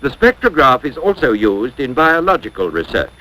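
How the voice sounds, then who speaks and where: Male narrator, 1950s instructional film: scripted, formal and measured, mid-pitched with animated intonation. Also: low-quality recording. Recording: 1950s educational film soundtrack.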